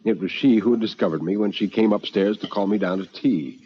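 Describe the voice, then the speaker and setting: An actor playing an elderly, anxious but philosophical man, narrating in a deliberate, literary manner for radio drama. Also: on the low side, slight background noise, close to an everyday voice. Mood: reflective, resigned. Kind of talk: old-time radio dramatic reading. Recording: muffled vintage radio recording with music.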